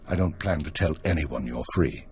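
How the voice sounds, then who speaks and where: Deep, measured male spymaster. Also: darkish tone. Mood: cool, controlled. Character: calculating male intelligence minister, unflappable spymaster. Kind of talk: video game cutscene acting.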